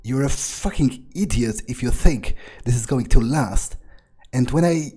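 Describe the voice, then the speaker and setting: A man improvising in character during a video game playthrough, speaking haltingly and broodingly in a husky voice. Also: mid-range voice, warm tone. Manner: halting, broody, heavy swearing. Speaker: gamer role-playing his character aloud.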